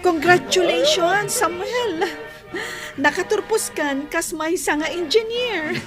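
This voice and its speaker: A woman's voice: very high voice, animated, slow pace, music in the background, heavily acted. A woman with a Filipino accent playing a caring, devoted young teacher in an audio drama. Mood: happy.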